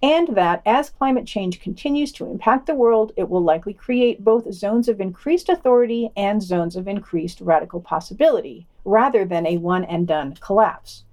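A woman's voice: medium pitch, lively intonation, normal speed, few pauses, a little mellow. Wry woman reading a podcast round-up. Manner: wry, sardonic asides. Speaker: podcast co-host. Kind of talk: scripted news round-up reading with asides.

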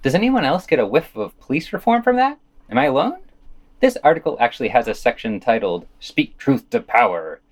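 A man's voice: medium pitch, very animated, fairly quick. A man hosting a podcast, reading scripted news commentary and quotations with dry, opinionated asides.

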